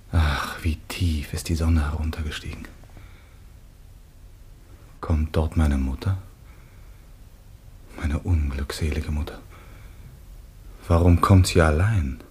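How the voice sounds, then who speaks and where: An actor playing a grave, gentle grieving son in a classic German radio drama, breathy and theatrical. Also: male voice, a bass voice, slight background noise. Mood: anguished, hushed.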